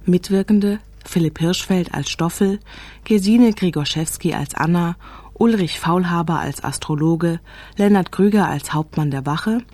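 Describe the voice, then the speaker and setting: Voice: mid-range voice, measured pace, darkish tone, dry recording. Dry, close radio credits announcer.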